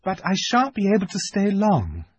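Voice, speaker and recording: A man's voice: high-pitched, huge pitch swings, moderate pace, husky, no echo, pitched above the performer's own voice, exaggerated voice. A man voicing a polite, innocent and puzzled young girl in a storybook, speaking simply and hesitantly.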